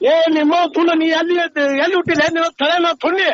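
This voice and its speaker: A man's voice: very high-pitched, flat delivery, racing, crowd noise. A man with a North Karnataka accent in an angry phone argument, aggressive and constantly swearing. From Badami, North Karnataka, India. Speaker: prank call target. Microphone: phone call. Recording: noisy, muffled phone call recording.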